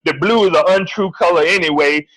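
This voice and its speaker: A man's voice: high-pitched, brisk, fairly bright tone, low-quality recording. A man speaking bluntly on a casual panel discussion, joking and swearing freely.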